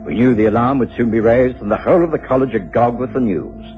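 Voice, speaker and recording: An actor playing a genteel British detective's companion, narrating a radio mystery in a husky voice. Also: male voice, mid-range voice, fast, soft background music, natural voice. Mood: wry, measured. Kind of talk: scripted first-person story narration in radio drama. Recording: muffled old radio recording.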